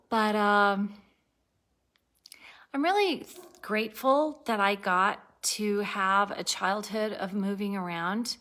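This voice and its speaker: Woman, 60s, unhurried video-diary chat, bright tone, worn out, self-correcting and giggly. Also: lowish voice. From born in Turkey, raised abroad, lives in Colorado. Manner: rambling, self-correcting, laughs at herself. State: tired, a little spacey. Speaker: vlogger. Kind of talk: casual daily video diary.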